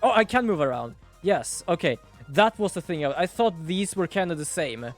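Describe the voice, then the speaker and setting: A man chats casually while he plays, rambling and cursing as he thinks out loud. His voice sits a bit high, and quiet game music runs underneath. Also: lively intonation, moderate pace. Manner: rambling, swears, thinks aloud. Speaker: streamer.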